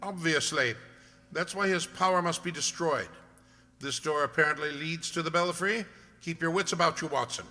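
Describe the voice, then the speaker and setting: A man playing a shrewd, commanding gentleman detective in an incisive, theatrical radio-play style. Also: fairly high pitch, normal speed. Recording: echoey hall.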